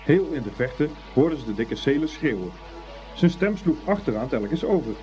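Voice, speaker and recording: A man narrating a scripted story for a Dutch radio play in an expressive, unhurried storytelling manner. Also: medium pitch. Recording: dull old radio recording, faint music.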